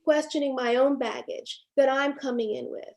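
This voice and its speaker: Thoughtful woman filmmaker, slightly high voice. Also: steady tone, a little mellow. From Haiti. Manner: thoughtful, halting with frequent fillers and restarts. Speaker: documentary filmmaker, panelist. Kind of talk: reflective panel discussion.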